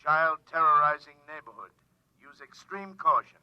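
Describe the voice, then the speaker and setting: Stern police dispatcher male actor, official and clipped, slightly high voice, muffled vintage radio drama sound. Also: expressive, normal speed, clear, resonant tone, no echo, lightly acted. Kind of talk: 1950s radio drama acting. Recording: dull, dry vintage radio recording.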